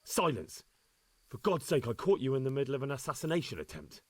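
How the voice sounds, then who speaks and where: A man's voice: mid-range voice, sing-song, fairly quick, slightly rough. An actor playing a steadfast young medieval man-at-arms in a video game, speaking directly and confidently. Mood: accusing. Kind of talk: dramatic video game acting.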